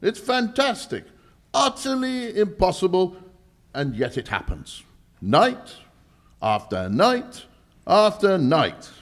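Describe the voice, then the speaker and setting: A man's voice: sits high, sing-song, takes it slowly, exaggerated voice. A man playing an alarmed, pompous lordly bank governor in a live 1940s-style radio mystery, emphatic and melodramatic. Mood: grave, baffled.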